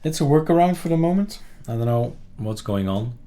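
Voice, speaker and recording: A man hosting a casual software tutorial, thinking aloud and muttering in short fragments as he works. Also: mid-range voice, animated, brisk. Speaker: tutorial host. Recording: background noise with keyboard typing and clicks. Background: keyboard typing, mouse clicks.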